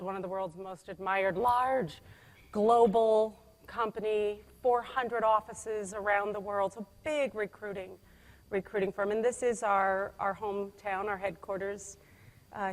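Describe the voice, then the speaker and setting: A woman giving a conference presentation, energetic and emphatic, with a loud voice and frequent restarts. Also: fairly high pitch. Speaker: conference speaker. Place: conference room. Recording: long hall reverb.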